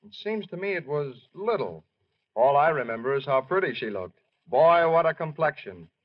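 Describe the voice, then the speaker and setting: A man's voice: a little high, animated, normal speed, muffled recording. An actor playing a smitten, boyish young serviceman in a 1940s radio advertisement, on an old recording.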